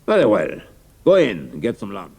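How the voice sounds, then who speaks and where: Pompous German commander, low gravelly voice, formal, authoritative, audio drama. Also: male voice, huge pitch swings, moderate pace, darkish tone, exaggerated voice. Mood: curt, interested.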